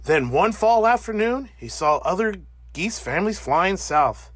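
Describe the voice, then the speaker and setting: A man narrating a children's picture book as an animated read-aloud. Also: high voice, unhurried, no echo. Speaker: storybook narrator.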